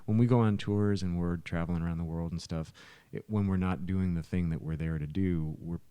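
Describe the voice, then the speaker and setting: A man's voice: low voice, fairly even intonation, brisk, warm tone, clean tone, no room sound. A man co-hosting a casual podcast, explaining things in a rambling way and often repeating his words. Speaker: podcast co-host, musician.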